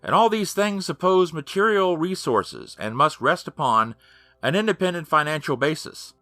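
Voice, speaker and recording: A man's voice: on the high side, animated, moderate pace, bright, forceful tone, no room sound, soft background music. A man narrating an audiobook in a formal, emphatic, declamatory manner.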